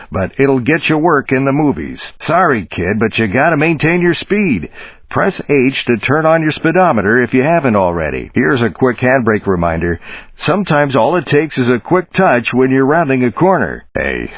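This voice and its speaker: An actor playing a folksy, encouraging American stunt driving coach in a video game, punchy and informal. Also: male voice, medium pitch, husky, no echo. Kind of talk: video game character voice acting. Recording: dull, dry game audio.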